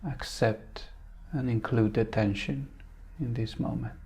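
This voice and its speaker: A man leading a guided meditation in a calm, unhurried manner, leaving long pauses. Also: medium pitch, vocal fry.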